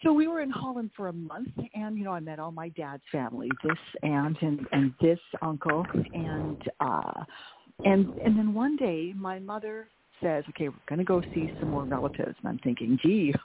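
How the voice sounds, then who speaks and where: A woman's voice: sits low, lively intonation, moderate pace, very noisy. A middle-aged woman from Canada, an author, telling her family story in an interview and often repeating words as she recalls. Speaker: author guest. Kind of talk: interview over phone. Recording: tinny phone line.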